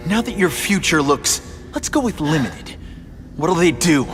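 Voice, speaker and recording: A man's voice: on the high side, sing-song, a little slow, in a large hall. An actor playing a wisecracking young superhero in a video game, teasing a thug as he questions him. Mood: wry. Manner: quippy and teasing. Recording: game audio over music.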